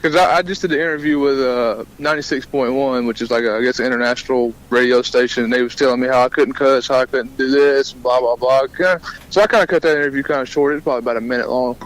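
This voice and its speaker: A 22-year-old man, chatting casually and haltingly as an interview guest, laughing often, a few beers in. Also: mid-range voice, fairly even intonation, rough recording. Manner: laughs often, informal, hesitant phrasing. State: has been drinking beer. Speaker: guest singer-songwriter and soldier. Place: calling in to a radio show.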